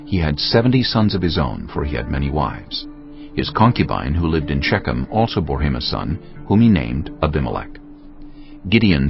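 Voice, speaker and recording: A man's voice: very deep voice, gravelly. A man narrating scripture from a script in a dramatic storytelling style. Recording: dull audio with music underneath. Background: music.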